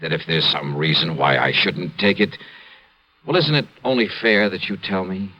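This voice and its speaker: An actor playing a shrewd, coolly persistent insurance investigator in a classic American radio crime drama, with a probing, clipped delivery. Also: male voice, low-pitched, normal speed, sharp tone. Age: young adult. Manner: probing, clipped, hesitant lead-ins. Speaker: radio detective lead. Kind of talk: classic radio crime acting and first-person narration. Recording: dull, noisy, echoey old radio recording.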